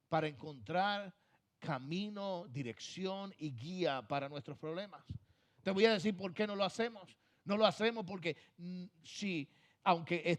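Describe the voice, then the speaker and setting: A man with a Puerto Rican accent preaching a sermon as pastor, pushing phrases hard and then letting them fall soft. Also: sits high. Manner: emphatic preaching, swings from loud to soft trailing phrases.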